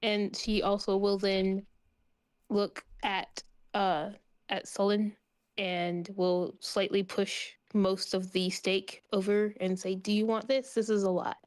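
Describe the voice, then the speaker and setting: A woman's voice: mid-range voice, level tone, slow pace, darkish tone, no room sound. A woman playing a tabletop game, casually speaking for her character and describing her actions. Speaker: player.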